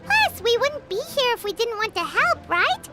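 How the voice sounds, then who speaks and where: A woman's voice: very high-pitched, big pitch swings, normal speed. A woman voicing a small, cheerful female companion creature who talks eagerly in a game, with music underneath. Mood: bubbly. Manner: bubbly, eager, chatty. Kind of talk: game voice acting. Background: game background music.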